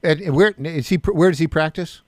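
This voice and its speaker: A man's voice: on the high side, normal speed, dry recording, clean studio sound. A man hosting a talk radio show, interviewing a guest with direct questions and frequent stumbling restarts.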